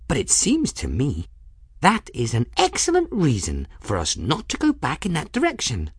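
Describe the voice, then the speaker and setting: A man's voice: fairly high pitch, wildly swooping pitch, normal speed, husky, no echo, clean studio sound, voice pushed up, heavily acted. A man voicing a timid, nervous girl apprentice with a fretful, hesitant manner in a storybook reading. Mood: fearful. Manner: fretful, hesitant, small-voiced.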